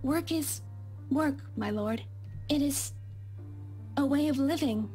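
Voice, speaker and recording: A woman's voice: sits high, slow, warm tone, soft background music. A woman playing a meek, deferential young maid in a visual novel game, speaking in a formal, old-fashioned, hesitant way. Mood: meek, wistful.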